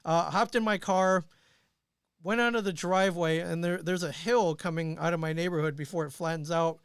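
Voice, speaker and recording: A man's voice: a little high, fairly even intonation, normal speed, clean tone, no room sound, clean studio sound. A middle-aged American man from Michigan, a guest casually telling rambling, self-deprecating anecdotes. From Michigan, United States. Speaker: podcast guest. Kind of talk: casual chat, personal anecdotes.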